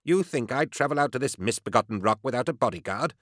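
A man's voice: medium pitch, animated, fast talker, a bit bright, no echo, studio quality, voice pushed up. A man voicing a haughty, querulous academic, pompous and imperious, in an audiobook. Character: haughty, querulous male academic doctor, piqued and self-important.

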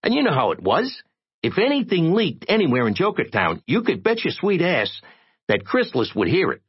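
A man voicing a tiny, frantic, streetwise reporter with a squeaky, irritating little voice, in an audiobook. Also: huge pitch swings, moderate pace, breathy edge, muffled recording, heavily acted. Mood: gossipy. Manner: streetwise slangy American talk.

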